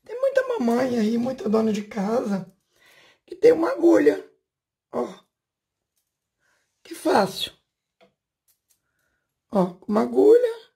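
A woman's voice: a little high, very animated, slow, creaky, long pauses, dark tone. Chatty woman showing a craft. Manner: chatty, talks while working with her hands, asks the viewer questions. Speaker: craft channel host. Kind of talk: casual hands-on tutorial. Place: home room, indoors.

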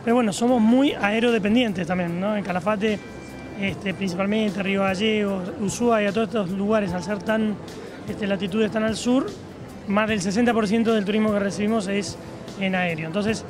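A man in his forties with an Argentine accent, a tourism official explaining things in a measured way with "este" fillers, interviewed on a trade fair stand with a handheld mic. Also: high voice, fairly even intonation, music in the background. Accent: Argentine Spanish. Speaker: provincial tourism secretary. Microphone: handheld reporter mic.

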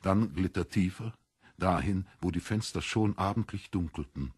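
Low, husky male audiobook narrator. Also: normal speed, a little mellow, no room sound, clean studio sound. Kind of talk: scripted literary narration.

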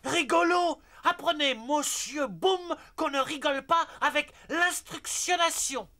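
A woman's voice: high voice, unhurried, sharp tone. A woman voicing a pompous, haughty male cat in a cartoon, declaiming theatrically. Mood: indignant. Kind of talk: French cartoon dubbing.

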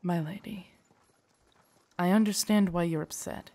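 A woman playing a loyal, protective female knight in an audio drama, formal, deferential and earnest, with a husky voice. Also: lowish voice, unhurried, warm tone. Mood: earnest, comforting. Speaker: voice actor in audio roleplay. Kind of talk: acted immersive audio drama monologue.

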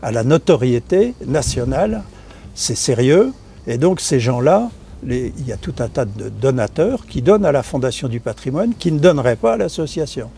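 A man's voice: medium pitch, sing-song, normal speed, vocal fry, mellow. An older man from France explaining the funding of a restoration in an outdoor interview, hesitant with many fillers and restarts. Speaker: association member. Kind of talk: interview answers, casual explanation. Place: outdoors on a stone chapel tower balcony.

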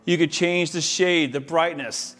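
A man's voice: a little high, fairly even intonation, unhurried, fairly bright tone. An American man in his forties giving an enthusiastic, informal walk-through to camera as a home installer. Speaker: home audio-video installer giving a tour. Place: inside a large, mostly empty house.

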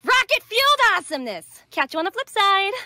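A daring, confident rocket girl from a video game. Her perky voice is very high and lively, recorded dry. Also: fast talker, dry recording, heavily acted. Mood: cocky excitement. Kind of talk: video game character lines.

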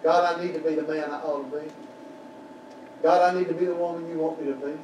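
An older man preaching a sermon in a small church, building to shouted peaks and dropping to quiet prayer. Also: fairly high pitch, little pitch movement, fast, dull audio. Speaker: pastor. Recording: roomy church echo from far off, background noise.

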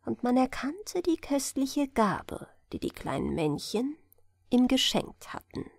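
A woman narrating a fairy tale as a gentle bedtime audiobook reading. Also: high voice, sing-song, vocal fry, no room sound, clean studio sound. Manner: slow, melodic storytelling.

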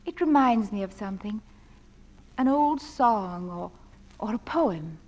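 A woman's voice: medium pitch, expressive, unhurried, a little mellow. A woman playing a plucky, earnest young widow in a theatrical radio play. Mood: wistful, curious. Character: spirited, romantic-minded young widow standing her ground. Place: theatre stage. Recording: reverberant hall, live stage performance.

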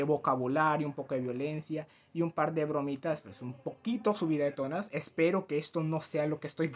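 A young man with a Latin American accent giving casual, slangy, playfully dramatic reaction commentary. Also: fairly high pitch. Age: young adult. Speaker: gaming channel host reacting to a video. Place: small room at home. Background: music.

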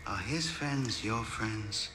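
A man's voice: on the low side, slow, music in the background. A man playing a smug, sardonic corporate security chief in a video game, with a theatrical, sarcastic drawl. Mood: mocking. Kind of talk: video game cutscene acting.

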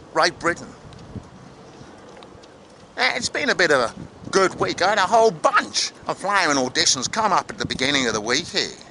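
A man playing a brash, excitable, matey Australian actor with an Australian accent and plenty of slang, in a vlog monologue. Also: high-pitched, a little hiss or hum, full character voice, poor audio.

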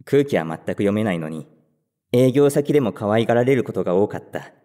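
A man's voice: lowish voice, expressive, fairly quick, warm tone, no room sound, studio quality, close to an everyday voice. An actor playing a timid, overthinking young male office worker who stammers when flustered and also narrates the story. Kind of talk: first-person narration and dialogue.

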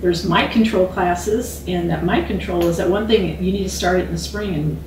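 A woman's voice: fairly low pitch, moderate pace, noisy background. A woman in her fifties, a beekeeping instructor, talking casually in a living room and gesturing as she speaks. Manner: chatty, animated, gestures with her hands. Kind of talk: casual conversation. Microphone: far camera. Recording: echoey room, distant mic.

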